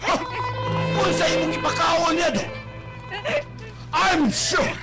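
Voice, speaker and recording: A man's voice: extremely high pitch, steady tone, takes it slowly, exaggerated voice. A man with a Filipino accent acting a domineering, snobbish rich father in a melodramatic radio drama, scornful and given to bellowing. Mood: contemptuous. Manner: theatrical, scornful, bellowing outbursts. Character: domineering, snobbish, wealthy father raging at his daughter. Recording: live stream with loud music bed. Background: loud dramatic music.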